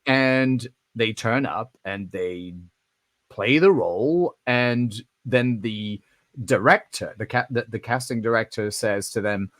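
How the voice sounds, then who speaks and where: A man co-hosting a casual podcast, with a mid-pitched voice and a dry, close sound. He tells stories animatedly and restarts his sentences often. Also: takes it slowly, no room sound. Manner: animated storyteller, acts out quoted voices; frequent restarts and fillers. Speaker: podcast co-host. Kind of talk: casual conversational chat.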